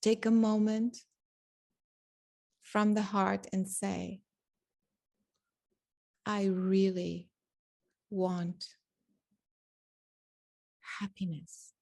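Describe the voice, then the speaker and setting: Very slow-paced woman leading guided meditation. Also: mid-range voice, flat delivery, gravelly, warm tone. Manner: deliberate phrases with long pauses.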